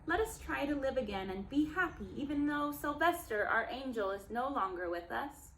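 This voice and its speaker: A woman's voice: high-pitched, lively intonation, normal speed, a bit bright, roomy sound, slight background noise, low-quality recording. A woman voicing a grieving donkey father in a storybook, earnest and trying to stay cheerful. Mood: sad, hopeful.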